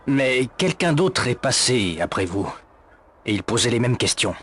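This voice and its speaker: Evasive male café manager, played by a man for a game dub: sardonic, hesitant, highly animated intonation. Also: measured pace, a crowd in the background. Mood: uneasy, evasive. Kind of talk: video game fan dub acting. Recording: faint background noise.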